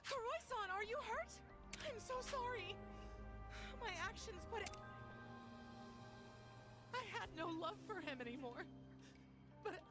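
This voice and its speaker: An actress playing a gentle, remorseful young woman in a video game drama, sincere and sorrowful. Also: extremely high pitch. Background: game soundtrack music.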